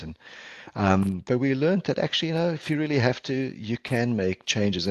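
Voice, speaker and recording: Middle-aged South African presenter, Portuguese first language; deep voice, dry close mic, earnest and halting. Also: male voice, fairly bright tone, no room sound. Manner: earnest, measured, with frequent ums and restarts. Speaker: palliative care physician presenter. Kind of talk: conference presentation over video call. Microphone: video call headset or laptop mic.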